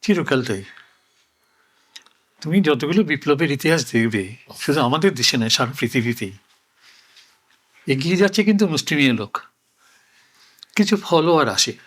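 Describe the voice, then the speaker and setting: An older man with a voice slightly higher than most men's. He talks reflectively, as an interview guest would, in a deliberate way. He often repeats a phrase to drive it home. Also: expressive. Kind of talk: reflective oral-history conversation.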